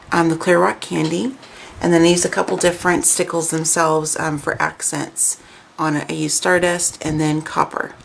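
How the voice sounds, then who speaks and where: Casual craft host, woman, hoarse and raspy, low gravelly tone, rambling with fillers. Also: slight background noise. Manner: chatty, many ums and restarts. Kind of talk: casual craft show-and-tell.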